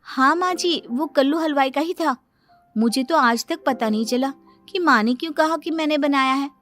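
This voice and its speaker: Woman playing a high-voiced, naive young daughter-in-law. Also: normal speed, fairly bright tone, soft background music. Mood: innocent. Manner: innocent, matter-of-fact, hesitant openers. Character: naive, brutally honest young daughter-in-law, sweet and polite.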